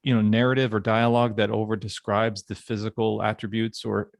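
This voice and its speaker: Gravelly man hosting a casual podcast. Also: on the low side, flat delivery, brisk, no room sound. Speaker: podcast host. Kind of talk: casual chat.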